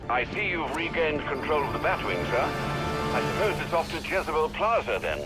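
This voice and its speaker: Older butler: wry, formal, fairly high lilting delivery, loud music underneath. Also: male voice, big pitch swings, fairly quick. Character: dry, courteous older male butler and aide. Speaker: hero's butler. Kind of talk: scripted game cutscene acting.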